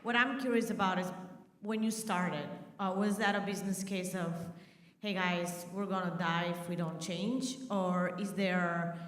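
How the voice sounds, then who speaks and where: A woman with a lowish voice asks a question from the audience, chatty and joking. She is picked up from far off, and her voice echoes around a large hall. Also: fairly even intonation, measured pace. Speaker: audience member asking a question. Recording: distant, echoey hall audio.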